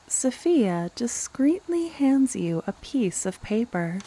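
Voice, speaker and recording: A woman reading scripted fantasy narration for ASMR in a soft voice. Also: medium pitch, low-quality recording. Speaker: ASMR roleplay creator and narrator.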